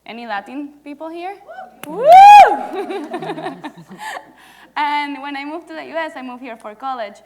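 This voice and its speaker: A woman's voice: high-pitched, measured pace, noisy background. A woman, telling her story playfully to an audience. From Venezuela. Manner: animated, playful, engaging the audience. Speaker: startup founder on a panel. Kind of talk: conversational storytelling on stage. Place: indoor event stage before an audience. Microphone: lapel mic.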